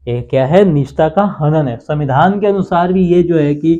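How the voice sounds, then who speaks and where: A man's voice: a little high, moderate pace, mellow. A man giving an informal explainer on the law to viewers, asking questions and answering them himself, repeating key points. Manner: repetitive, asks and answers his own questions. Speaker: legal explainer on a channel. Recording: dull lo-fi sound.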